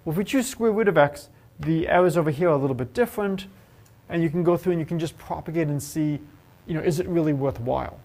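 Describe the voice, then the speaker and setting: A man's voice: fairly high pitch, fast. A man giving a university math lecture, asking the class questions and joking with students. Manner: lively, asks the class questions and jokes. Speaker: math professor. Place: lecture hall.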